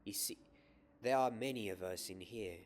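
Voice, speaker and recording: A young man playing a gentle wizard professor in an ASMR roleplay, soft-spoken and often whispering, measured and encouraging. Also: fairly low pitch, a little hiss or hum, lightly acted. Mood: hushed, instructive. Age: young adult. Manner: measured, encouraging teacher, repeating words softly. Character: gentle wizard professor teaching a magic class.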